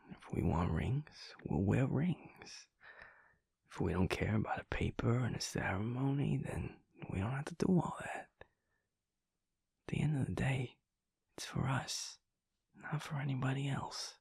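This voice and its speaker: Very slow delivery, breathy husky voice, male actor as cocky affectionate werewolf boyfriend, intimate audio roleplay, teasing, close microphone. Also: mid-range voice, darkish tone, no echo, heavily acted. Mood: reassuring, firm. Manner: slow, murmuring, informal, swearing tenderly.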